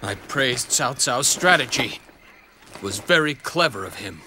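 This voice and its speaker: A man voicing a proud, blustering noble commander in a game cutscene, speaking slowly and haughtily. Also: mid-range voice, lively intonation, sharp tone, a little hiss or hum. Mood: haughty.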